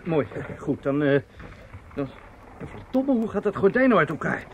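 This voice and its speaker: Flustered, pompous impresario, swooping high. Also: male voice, very animated, normal speed, highs cut off, slight background noise. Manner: hesitant and muttering offstage, pompous in speeches. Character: flustered, self-satisfied male opera impresario. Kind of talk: radio drama acting.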